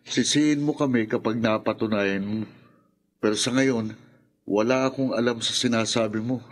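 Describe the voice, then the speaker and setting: A man's voice: mid-range voice, steady tone, normal speed, natural voice. An actor playing a defensive, hot-tempered young man with an emphatic delivery in a Filipino radio horror drama. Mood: defensive, irritated.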